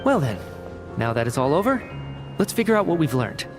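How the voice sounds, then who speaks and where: An actor playing an earnest, analytical researcher in a video game, articulate and inquisitive, reasoning methodically. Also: male voice, a little high, big pitch swings, brisk. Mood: thoughtful. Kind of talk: video game dialogue acting. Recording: studio voice under clear game music. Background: background music.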